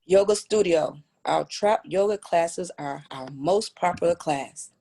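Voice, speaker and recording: A woman's voice: on the low side, a little slow, creaky, warm tone. A woman playing an earnest gym trainer with a salesy tour-guide patter in a script read.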